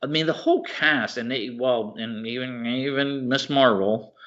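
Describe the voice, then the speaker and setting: Male podcast co-host, mid-pitched, informal and enthusiastic, wandering delivery with halting word searches, slightly lo-fi sound. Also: fairly bright tone. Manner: rambling, halting, searches for words. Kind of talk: casual chat about films and TV shows. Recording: slightly dull, lo-fi podcast audio.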